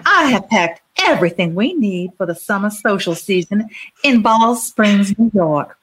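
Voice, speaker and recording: A woman's voice: mid-range voice, lively intonation, measured pace, fairly bright tone, husky, poor audio. A woman playing a grand, genteel wealthy Southern wife and mother in an amateur dramatic reading. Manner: genteel, theatrical.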